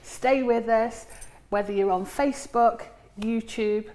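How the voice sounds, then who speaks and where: A woman co-hosting an online chat show, upbeat and quick to chime in. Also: on the high side, fairly even intonation, mellow. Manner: upbeat, chiming in with short agreements. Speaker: co-host. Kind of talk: casual live-stream chat.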